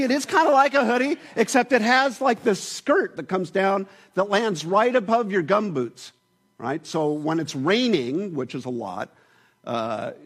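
A man preaching a sermon in a church, joking and asking the congregation questions in a lively way. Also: sits high, slightly rough.

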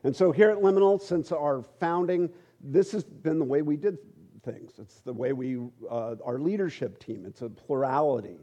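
A middle-aged man teaching at a church, relaxed and joking, with halting asides. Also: fairly high pitch, highs cut off. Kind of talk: conversational sermon.

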